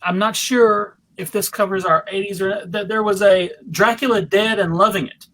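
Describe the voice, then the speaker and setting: A panelist with a middling pitch talks casually about films. The delivery is halting and exploratory, as if working out each thought aloud. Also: fairly even intonation, fairly bright tone, poor audio.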